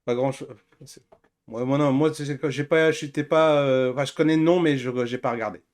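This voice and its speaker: A man's voice: medium pitch, fairly even intonation, brisk, a little mellow. Male host, 40s. Mid-range voice, casual and teasing, for informal panel talk.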